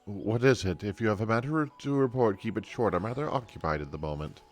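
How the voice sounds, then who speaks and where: A man voicing a proud, blustering guard lancer from a fantasy game with a stiff, gruff manner. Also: fairly low pitch, lively intonation, brisk, soft background music, natural voice. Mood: curt.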